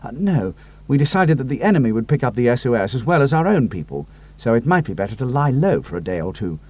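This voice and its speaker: A man's voice: mid-range voice, very animated, quick pace, a little mellow. A man voicing a loyal, cautious pilot sidekick who talks earnestly. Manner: earnest and a little doubtful.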